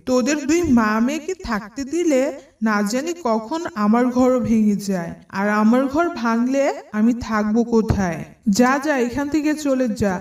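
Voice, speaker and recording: A woman's voice: on the high side, a little mellow, natural voice. A woman voicing a rude, selfish bird neighbour in a children's cartoon, curt and scolding. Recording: roomy, distant sound, faint music.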